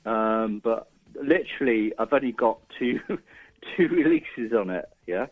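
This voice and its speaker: A man in his forties with a fairly low voice talks casually as a radio guest. He is informal and a little hesitant, and the sound is dry and slightly dull. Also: lively intonation, unhurried, no echo. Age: 40s. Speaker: radio guest, doctor and record label owner. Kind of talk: casual chat.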